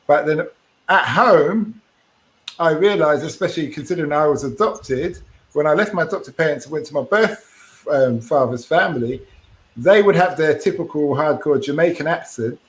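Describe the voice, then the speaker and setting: Guy cohosting a podcast over a video call, a bit high-pitched, thinks out loud and restarts phrases. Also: lively intonation, normal speed, poor audio. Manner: thoughtful, restarts mid-phrase. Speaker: podcast co-host. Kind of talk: casual chat on a video call.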